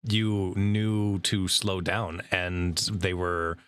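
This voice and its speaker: A man hosting a casual interview with a voice actor, relaxed and quick to laugh. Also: low-pitched, smooth, dry recording. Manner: relaxed, with easy laughs and "yeah" backchannels. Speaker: interview host.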